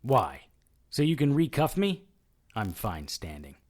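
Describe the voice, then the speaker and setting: An actor playing a sardonic, defiant noir detective in an audio drama, needling others and narrating in the first person. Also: male voice, mid-range voice, huge pitch swings, measured pace. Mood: wary, sardonic. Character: wisecracking, defiant male noir detective hero.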